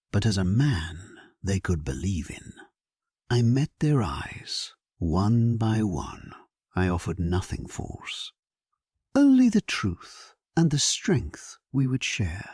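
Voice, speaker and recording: Sleep-story narrator: male actor as resolute, solemn king, low and breathy, unhurried, very dry close sound. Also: very animated, darkish tone, no room sound, heavily acted. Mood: grave, resolute. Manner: solemn, measured, dramatic delivery. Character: grave, resolute English king at war, narrating his memoir. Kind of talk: scripted first-person sleep-story narration.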